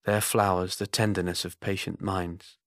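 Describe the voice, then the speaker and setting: A male voice actor reading poetry in a solemn, measured and grave manner. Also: deep voice, level tone, a bit bright, dry recording, clean studio sound.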